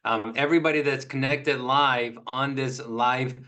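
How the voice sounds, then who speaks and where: A man's voice: medium pitch, steady tone, a little slow, pushed and bright. Earnest man on a video call. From Korea. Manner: earnest, friendly, many fillers. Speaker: sales executive.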